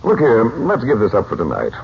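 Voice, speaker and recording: Mystic uncle in old radio serial, lowish steady voice, in charge, muffled hissy sound. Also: male voice, a little fast, gravelly, husky, full character voice. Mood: composed. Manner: composed, authoritative, reassuring. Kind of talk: 1940s radio acting.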